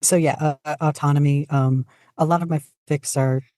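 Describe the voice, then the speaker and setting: A soft-edged, mellow voice recorded close and dry. The guest talks casually in an interview, choosing words in a measured, reflective way with a self-deprecating streak. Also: medium pitch, fairly even intonation, dry recording. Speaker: podcast guest, fanfiction writer.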